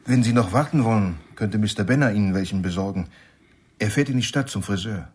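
Husky, low-voiced handyman. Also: brisk, darkish tone. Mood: polite, dry. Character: polite, matter-of-fact male household handyman. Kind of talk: German radio crime drama acting.

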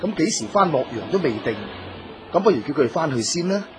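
A man's voice: fairly high pitch, very animated, brisk, noisy background. A man playing a courteous, dutiful scholar-official in a Cantonese radio drama, earnest, formal and theatrical.

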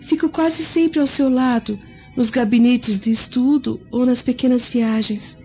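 A woman's voice: fairly high pitch, steady tone, unhurried, dark tone. A woman playing a refined, bookish young Roman noblewoman in a radio drama, speaking formally and earnestly. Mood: tender, puzzled. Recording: dull lo-fi audio with music behind.

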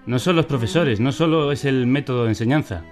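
A man's voice: medium pitch, brisk, faint music behind, natural voice. An actor in a satirical radio drama playing an anxious, stubborn, idealistic father, emphatic and repetitive, with a Castilian Spanish accent. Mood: impassioned.

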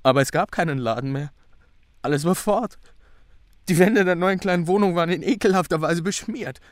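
A man's voice: high voice, fairly quick, no room sound, studio quality, lightly acted. An actor playing a troubled, bewildered young man in a radio drama, recounting things in an earnest, brooding way. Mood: bitter anguish. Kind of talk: German radio drama acting.

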